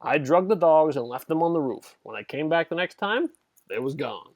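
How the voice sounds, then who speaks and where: A man's voice: a little high, animated, normal speed, a little mellow, no room sound. A man acting a shifty, evasive street-thug lackey with slangy speech in an audio drama. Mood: shifty, defensive.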